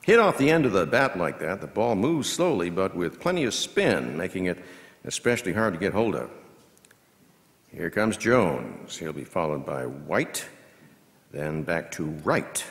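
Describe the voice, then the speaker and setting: Baseball play-by-play announcer male actor: unhurried, clipped delivery, hugely varied intonation, excitable on the action, long hall reverb. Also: low voice, full character voice. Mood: measured, watchful. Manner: measured, clipped phrases that swell into excited calls. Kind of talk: scripted radio-style baseball commentary, read as a performance.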